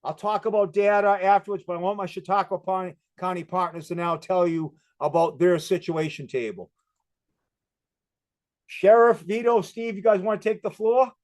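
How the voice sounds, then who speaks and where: Fast-talking man with a Boston accent. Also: sits high, a little mellow. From Massachusetts. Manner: brisk, walks through steps with quick questions and answers. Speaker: presenter on community crisis programs. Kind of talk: fast presentation talk.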